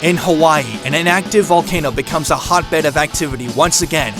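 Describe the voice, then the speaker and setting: Male narrator for action audio drama, fairly high register, scripted storytelling under a loud score. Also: brisk. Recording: loud music bed. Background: loud music.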